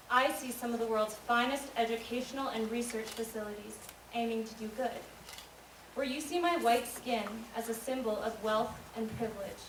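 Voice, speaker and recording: A young woman from the United States giving an earnest, measured spoken-word monologue on a school stage. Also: fairly high pitch. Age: young adult. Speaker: student speaker. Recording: echoey hall recorded from far off, noisy.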